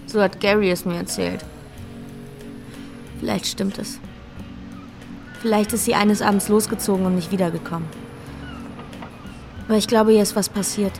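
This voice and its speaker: Actress as troubled young runaway, music behind. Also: female voice, medium pitch, steady tone, moderate pace, gravelly, long pauses, natural voice. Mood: bitter, confiding. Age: young adult. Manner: plain-spoken, slangy. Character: troubled, unsure young runaway woman, streetwise and wounded. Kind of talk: crime radio drama dialogue.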